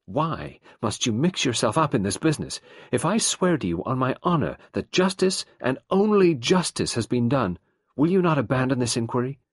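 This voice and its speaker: A man's voice: medium pitch, big pitch swings, normal speed, breathy edge, dry recording, studio quality, voice pushed up. A man reading the part of a proud young woman who pleads passionately and intensely. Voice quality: passionate, imploring.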